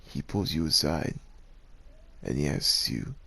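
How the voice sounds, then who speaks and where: A male narrator reads a scripted ASMR tale. His voice is exceptionally deep and husky, and he takes it at a slow pace, telling the story to the listener as its main character. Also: lively intonation, bright, forceful tone. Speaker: narrator, voice actor.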